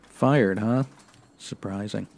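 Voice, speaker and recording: Terse, deadpan American police sergeant, played by a man. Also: fairly low pitch, slow pace, gravelly. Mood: dry. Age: 30s. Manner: clipped, deadpan. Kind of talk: 1950s radio detective drama narration and dialogue.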